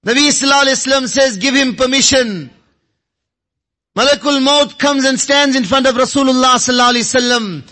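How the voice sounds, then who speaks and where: Male preacher, very high and bright voice, emotional sermon delivery with insistent repetition. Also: fairly even intonation, racing, few pauses. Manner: emotional, repeats phrases for rhetorical emphasis. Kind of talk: impassioned Islamic sermon with Arabic quotations.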